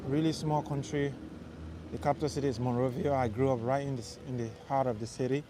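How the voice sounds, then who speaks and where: A young man from Liberia talks to his live-stream viewers as he walks a city street at night. His speech is relaxed and rambling, and his voice sits at an ordinary middle pitch. Faint traffic hums behind him on the phone mic. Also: fast talker. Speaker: livestream host. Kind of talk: casual live-stream chat while walking. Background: faint traffic noise.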